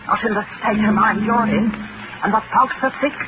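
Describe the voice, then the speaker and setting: An actress playing an anxious ship's stewardess who coaxes a passenger to stay inside, in a homely lower-class dialect. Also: female voice, normal speed, over loud music, close to an everyday voice. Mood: pleading. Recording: lo-fi old radio broadcast with hiss.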